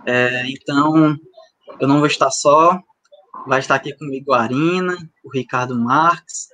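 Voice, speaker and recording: A man of twenty, hosting a casual livestream chat in a halting, hesitant way. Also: mid-range voice, sharp tone, a little hiss or hum. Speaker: youth livestream host.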